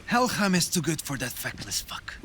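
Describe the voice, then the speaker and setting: A man playing an embattled Viking jarl in a game, an earnest and measured ally with a coarse tongue. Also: a little high, very animated, pushed and bright, a little hiss or hum. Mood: aggrieved. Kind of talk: cinematic game dialogue. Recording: studio voice over game music.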